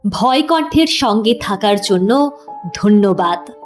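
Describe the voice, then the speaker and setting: A woman narrating a scripted story in a measured, expressive storytelling manner. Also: medium pitch, faint music behind.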